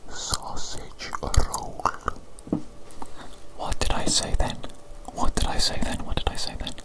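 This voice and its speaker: A woman whispering an ASMR medical roleplay as a fussy doctor, softly repeating her phrases in a breathy, unvoiced voice. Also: a little fast. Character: fussy evil doctor examining a creature. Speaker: ASMR performer playing a doctor.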